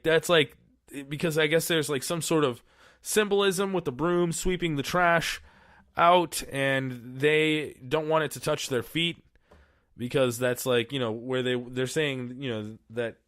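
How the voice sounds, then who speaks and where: A man's voice: mid-range voice, unhurried, a bit bright, no room sound, studio quality. Casual profane male podcast host. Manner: casual, profane, rambling with filler words. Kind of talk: solo podcast monologue, reading aloud and riffing. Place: small studio.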